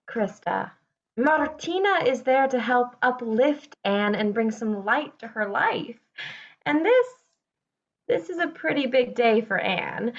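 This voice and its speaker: A woman's voice: on the high side, dull audio, exaggerated voice. A woman playing an earnest, self-important playwright in a naturalistic online play. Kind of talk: naturalistic virtual stage acting.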